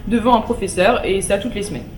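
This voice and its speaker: A young female student explaining her school clearly in an interview. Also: mid-range voice, fast talker, a little mellow, faint music behind. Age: young adult. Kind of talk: interview answers.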